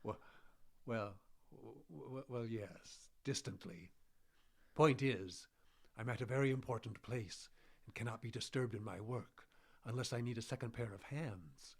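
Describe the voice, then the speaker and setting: Husky, doddering old mad scientist. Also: male voice, mid-range voice, unhurried. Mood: muttering, distracted. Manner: doddering, stammering, repeating himself. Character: eccentric old male botanist, testy, absent-minded, obsessive. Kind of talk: radio horror drama acting.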